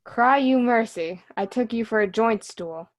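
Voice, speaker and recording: Woman as a cheeky high-voiced court fool. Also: unhurried, lightly acted. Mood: teasing, wry. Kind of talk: remote reader's theatre Shakespeare.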